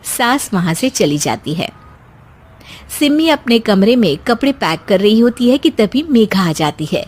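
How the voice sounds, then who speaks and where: A woman narrating a scripted animated family story as a storyteller. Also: mid-range voice, unhurried.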